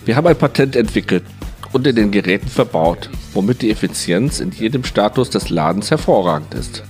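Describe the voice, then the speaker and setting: A man with a fairly low voice reads a translated interview as a voice-over. His delivery is scripted, and faint music plays behind him. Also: expressive, fairly quick, darkish tone.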